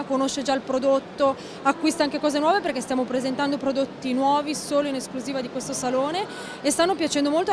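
A woman in her thirties, a rice company representative, speaking upbeat at a fair stand. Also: high-pitched. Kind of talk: interview at a trade fair stand. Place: busy indoor exhibition hall. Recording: noisy fair hall background.

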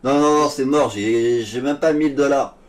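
A man's voice: medium pitch, normal speed. A young man in casual, improvised game role-play, talking in a cheeky, slangy way on a gaming microphone. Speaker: gamer role-playing as an arrested suspect. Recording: noisy gaming voice chat.

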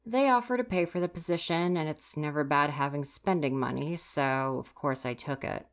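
Low-voiced, huffy tsundere student stagehand, acted by a woman. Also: moderate pace. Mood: defensive, opening up. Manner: huffy, exasperated, sighing scolding. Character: grumpy flustered tsundere student stagehand, university age. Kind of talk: ASMR roleplay, acted directly to the listener.

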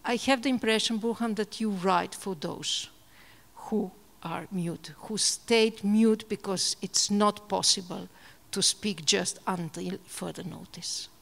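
A middle-aged woman moderating a literary conversation on stage, thoughtful, trailing softer at phrase ends. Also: medium pitch, slow, vocal fry. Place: large hall, on stage before audience. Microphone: handheld mic. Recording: hall with long reverb.

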